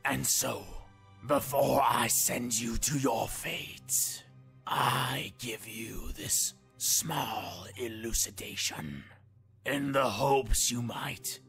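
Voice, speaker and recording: A man playing a sadistic, fanatical inquisitor, declaiming slowly and pompously in a gravelly, forceful voice in a sci-fi audio drama. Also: mid-range voice, faint music behind, exaggerated voice. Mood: coldly menacing. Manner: slow, theatrical, pompous declamation. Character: grandiose sadistic male inquisitor, fanatical monologuing villain.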